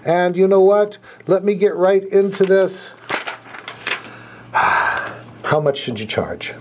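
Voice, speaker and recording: A middle-aged man with a cold, sniffly and clearing his throat, teaching in an earnest, folksy and repetitive way with sighs. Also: high-pitched, very animated, slow pace, noisy background. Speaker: hypnotherapy instructor and podcast host. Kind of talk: casual teaching talk to camera.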